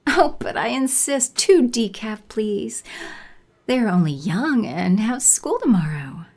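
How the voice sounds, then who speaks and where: A woman's voice: on the high side, sing-song, takes it slowly, a little mellow, heavily acted. A woman playing a haughty, condescending villainous sorceress in an audio drama, with theatrical, menacing delivery. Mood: falsely sweet.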